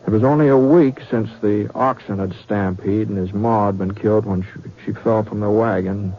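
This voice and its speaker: A man playing a folksy Western storyteller narrating an old-time radio drama in a halting, conversational way. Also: on the low side, slight background noise, lightly acted. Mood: somber. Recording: muffled vintage radio, faint music.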